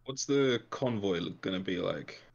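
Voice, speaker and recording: A man playing a tabletop game in character, with measured, somewhat formal wording, on muffled audio. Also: low-pitched, lively intonation, gravelly, husky. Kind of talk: in-character roleplay discussion.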